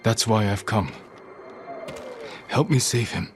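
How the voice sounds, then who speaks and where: Low-voiced, resolute samurai warrior. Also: male voice, slow. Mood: grave, determined. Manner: grave, terse, determined. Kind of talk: dramatic video game acting. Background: loud background music.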